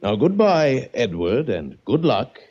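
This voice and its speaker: An actor playing a brusque, scheming attorney in a 1940s radio drama. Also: male voice, mid-range voice, sing-song, slow, warm tone, husky, heavily acted. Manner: brusque, impatient. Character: scheming, curt male attorney. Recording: dull old radio recording.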